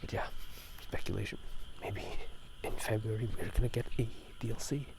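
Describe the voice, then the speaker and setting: A man speaking in soft whispers for a casual ASMR gaming chat outdoors at night, unscripted and rambling, often correcting himself. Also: on the low side, normal speed, creaky. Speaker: video host. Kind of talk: whispered ASMR chat about video games. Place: outdoors at night near rice fields.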